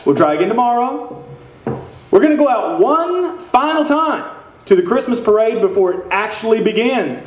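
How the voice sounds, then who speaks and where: A man's voice: very high-pitched, lively intonation, normal speed. A man on stage playing a folksy, sincere small-town radio host, reading in old-time radio show style. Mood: flustered, hurried. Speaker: radio announcer. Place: indoor theater stage. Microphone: stand mic, heard through far camera. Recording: echoey stage hall, distant muffled audio.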